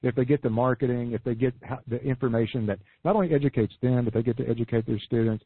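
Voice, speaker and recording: A hoarse man talks fast and eager, pitching ideas like a salesman and often restarting mid-sentence. His voice is husky and a little breathy. The sound is narrow and phone-like, dry with no room at all. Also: steady tone, no room sound. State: losing his voice. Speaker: business coach guest. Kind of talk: podcast interview.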